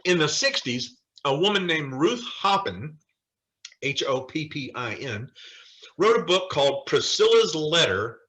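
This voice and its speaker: Bright-voiced man teaching informally. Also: a little high, sing-song, unhurried, low-quality recording. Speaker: Bible study leader. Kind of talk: informal lecture with personal anecdotes. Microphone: video call.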